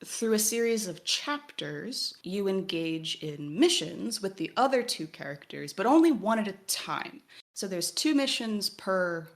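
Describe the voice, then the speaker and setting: A woman's voice: medium pitch, very animated, unhurried. A woman co-hosting a podcast, giving a conversational explanatory summary and leaning emphatically on key words. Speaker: podcast co-host.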